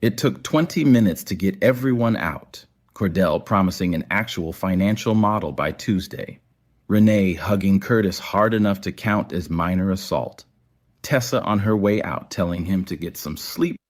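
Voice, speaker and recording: A man narrating a scripted audio story in a measured, literary storytelling manner. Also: deep voice.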